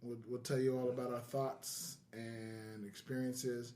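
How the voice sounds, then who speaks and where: A man's voice: medium pitch, little pitch movement, unhurried, darkish tone, a little hiss or hum. A man hosting a podcast, chatting casually. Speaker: podcast host.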